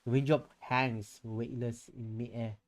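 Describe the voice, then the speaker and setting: A man's voice: mid-range voice, little pitch movement, measured pace. A man reading a visual novel aloud in a theatrical, playful way, with casual reactions in between. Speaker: streamer.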